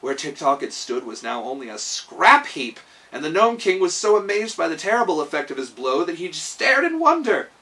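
A man's voice: fairly high pitch, sing-song, moderate pace, bright, forceful tone, far from the mic. A man in his thirties reading a children's story aloud in a dramatic, emphatic manner. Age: 30s. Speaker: storyteller and host. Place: small room indoors at home.

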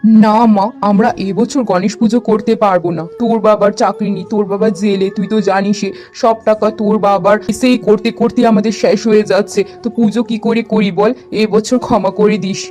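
A woman playing a worried, tender cartoon mother with a gentle, plaintive way of talking. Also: medium pitch, steady tone, normal speed, faint music behind, lightly acted. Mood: sorrowful, apologetic. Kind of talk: cartoon character dialogue.

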